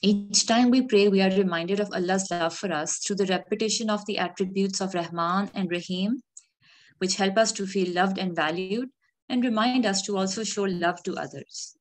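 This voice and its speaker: Calm earnest woman giving a sermon. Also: medium pitch, normal speed. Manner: calm, earnest and gently reflective. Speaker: khutbah speaker. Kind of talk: measured sermon.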